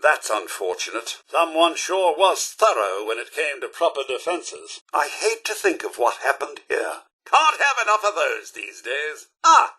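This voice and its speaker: A man's voice: fairly high pitch, sharp tone, breathy edge, full character voice. A man voicing a prim, fussy, courteous robot butler in a video game, with a British accent and a polite, theatrical manner. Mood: dry, wistful musing, then chipper, curious. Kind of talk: video game companion voice lines.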